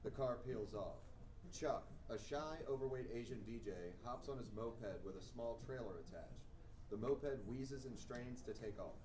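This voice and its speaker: A man's voice: mid-range voice, normal speed. A man narrating at a table read, reading screenplay stage directions aloud to a group. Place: indoor room with a group. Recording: roomy, distant mic, faint noise.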